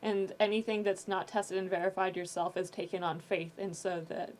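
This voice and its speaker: A woman guest on a podcast, casually explaining the background of her audio drama in a measured way. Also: medium pitch, steady tone. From Texas. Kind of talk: casual chat.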